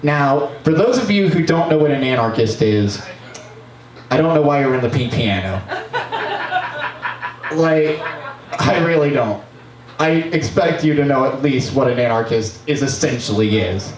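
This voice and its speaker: Animated young man ranting into a bar mic. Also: medium pitch, measured pace, pauses often. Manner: profane, animated, breaks into shouts. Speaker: open mic performer. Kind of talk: comic history monologue. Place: small bar stage, indoors. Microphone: stand mic, distant camera. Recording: roomy bar, camera far off.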